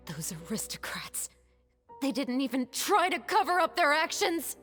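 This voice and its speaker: A woman's voice: high voice, big pitch swings, takes it slowly, a bit bright, faint music behind, heavily acted. A woman playing a composed, resolute young woman in a video game, firm and matter-of-fact. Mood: tense. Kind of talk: scripted video game voice acting.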